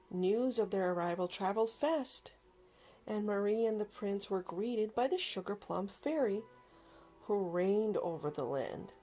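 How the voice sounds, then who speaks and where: A woman narrating a children's storybook aloud with expressive, dramatic emphasis, over music. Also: medium pitch. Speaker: storybook reader. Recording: music behind the voice.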